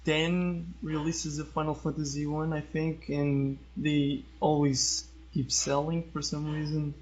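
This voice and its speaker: A man's voice: fairly high pitch, level tone, measured pace, darkish tone, slight background noise. A man speaking casually as a guest in a podcast discussion, over dull, lo-fi voice chat audio.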